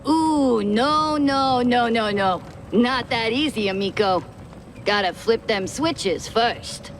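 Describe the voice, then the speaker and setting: A woman's voice: a little high, slow, slight background noise. A woman playing a cheeky, folksy guide in a cartoon game, with a playful drawl and slangy way of talking. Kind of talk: cartoon video game acting.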